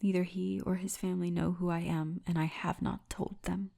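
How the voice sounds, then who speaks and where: A woman voicing a big orc guardswoman, gentle, wry and commanding, in a hushed, breathy ASMR roleplay murmur. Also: on the low side, flat delivery, moderate pace. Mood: wistful, guarded.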